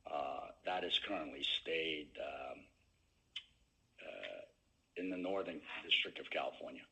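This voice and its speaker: A man with a very low, bright-edged voice answers as a company executive on an earnings call. His delivery is slow and halting, broken by frequent hesitant pauses. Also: animated, creaky, poor audio.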